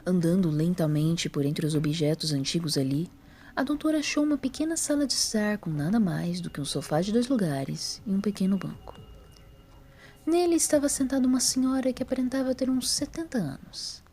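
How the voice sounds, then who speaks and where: Narradora mulher de voz suave e aveludada. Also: female voice, mid-range voice, very animated, fairly quick, mellow. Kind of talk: scripted story narration.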